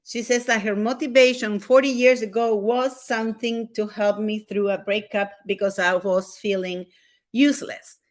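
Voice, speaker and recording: A woman's voice: mid-range voice, fairly even intonation, moderate pace. A woman hosting an online interview show, introducing her guest in an effusive, gushing way. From USA. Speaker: host.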